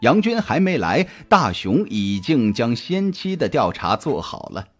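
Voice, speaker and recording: Very animated male suspense storyteller. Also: mid-range voice, a little slow. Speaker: audiobook narrator. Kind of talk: scripted suspense story narration, dramatic storytelling. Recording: music behind the voice. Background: background music.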